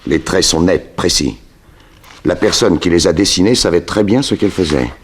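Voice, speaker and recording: A man's voice: sits low, animated, moderate pace, a little hiss or hum. A man playing a cool, self-assured private detective in a 1960s French radio drama, with a dry, authoritative manner. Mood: pensive, deductive.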